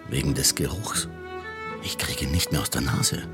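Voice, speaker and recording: An actor playing a morose, brooding middle-aged police inspector in a radio drama, grumbling with dry sarcasm. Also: male voice, rumbling low, moderate pace, over music. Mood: gloomy, sardonic.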